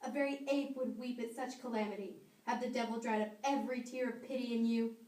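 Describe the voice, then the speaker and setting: A woman's voice: fairly high pitch, steady tone, quick pace, darkish tone, natural voice. A woman playing a stern, unbending male judge from Puritan times in an amateur play, declaiming pompously. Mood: scornful, demanding. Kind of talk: amateur stage-play acting. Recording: echoey room, distant mic.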